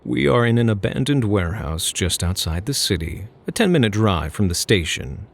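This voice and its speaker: A smug phantom thief, played by a man, purrs in a deep, husky voice with plenty of gravel. His delivery swings playfully up and down, theatrical and flirtatious. It is ASMR roleplay, full of teasing mock politeness. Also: very animated, moderate pace, exaggerated voice. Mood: smug, mock-caring.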